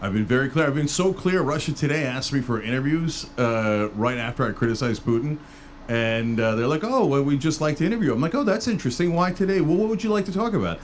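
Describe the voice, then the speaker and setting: A man's voice: medium pitch, fast, a little hiss or hum. Emphatic man from Turkey, podcast guest. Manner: emphatic, animated storytelling with mock dialogue. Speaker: political commentator guest. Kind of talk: casual podcast conversation.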